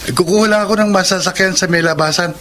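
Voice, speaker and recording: A man's voice: high voice, fast talker, bright, forceful tone, a little hiss or hum. An actor playing a devoted young Filipino husband and father in a radio drama, tender and earnest. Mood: cheerful. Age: young adult. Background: music.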